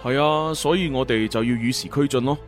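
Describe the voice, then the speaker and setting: A man playing a calm, protective and confident special agent in a Cantonese radio drama. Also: medium pitch, a little fast, soft background music, close to an everyday voice. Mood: calm, explanatory.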